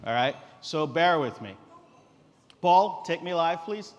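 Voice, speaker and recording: Guy from guyana doing a live tech demo on stage, kinda high voice, chill and jokey, echoey hall. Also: slow. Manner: relaxed, direct, joking with the audience. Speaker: company founder presenting a tech demo. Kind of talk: informal live stage presentation. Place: conference stage in a large reverberant hall.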